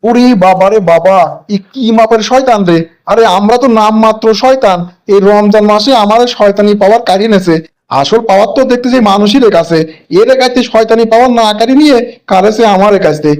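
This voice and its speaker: A cheeky older cartoon devil, acted by a man. He chatters quickly in a high pitch. His talk is colloquial, indignant and full of exclamation. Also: darkish tone. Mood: amazed, indignant. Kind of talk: comic cartoon dialogue.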